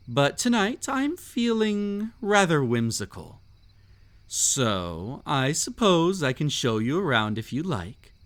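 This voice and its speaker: A man acts out a mysterious, sinister gentleman of a haunted estate in an audio roleplay. He speaks slowly and theatrically, teasing his listener with whimsical menace. His pitch swoops playfully through drawn-out phrases. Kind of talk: scripted roleplay audio drama.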